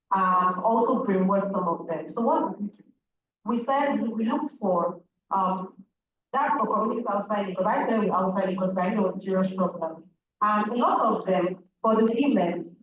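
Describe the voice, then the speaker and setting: A woman with a Nigerian accent, a native Igbo speaker, giving an earnest, emphatic talk as a speaker at a public event. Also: medium pitch. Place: large event hall. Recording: echoey hall, distant muffled mic, audience noise.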